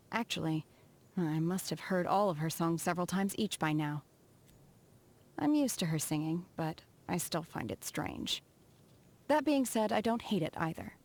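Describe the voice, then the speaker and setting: Woman voicing an aloof, low-toned young warrior woman. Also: darkish tone, close to an everyday voice. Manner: measured, reserved, formal. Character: aloof, soft-spoken young female adept's apprentice, socially reserved. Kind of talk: scripted video game character voice lines. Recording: music behind the voice.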